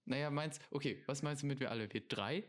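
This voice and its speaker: A man's voice: mid-range voice, quick pace. Dry, nitpicking male podcast guest. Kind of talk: casual podcast chat.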